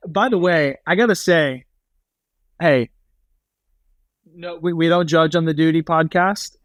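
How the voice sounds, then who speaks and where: Man from the pacific northwest, podcast guest, smooth clear voice, dry close sound. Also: fairly high pitch, measured pace, dry recording. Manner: joking, laid-back banter. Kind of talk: casual chat.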